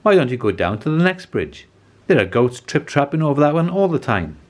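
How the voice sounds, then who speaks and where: Man squeaking as a small high-pitched storybook mouse. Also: big pitch swings, a little fast, a little mellow. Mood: pleading. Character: small, timid, pleading furry mouse. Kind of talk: children's storybook character voice.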